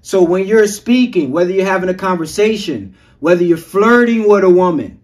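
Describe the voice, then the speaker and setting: A man coaching other men on social skills in a casual, energetic monologue, full of slang and swearing, calling viewers G and fellas. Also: high voice, normal speed. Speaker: self-improvement coach.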